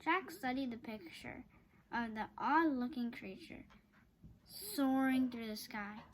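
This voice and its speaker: A woman reading a children's chapter book aloud, haltingly, stumbling over long words. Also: fairly high pitch, expressive. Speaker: storybook reader.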